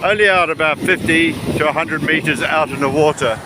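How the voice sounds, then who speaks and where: A man's voice: fairly high pitch, fairly even intonation, normal speed. A man giving casual, rambling commentary as a travel vlogger, walking outdoors along a beach. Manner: rambling, chatty. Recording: windy outdoor recording with surf noise. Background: wind, waves, outdoor ambience.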